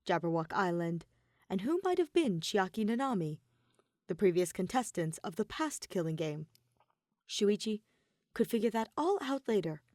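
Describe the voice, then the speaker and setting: Expressive woman narrating a story, dry sound. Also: medium pitch, normal speed, no echo. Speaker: podfic reader. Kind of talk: dramatic scripted fan fiction narration.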